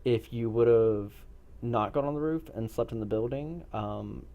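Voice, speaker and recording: A man speaking as a game master, explaining a role-play session in a deliberate, measured way. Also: medium pitch, creaky, phone-quality sound.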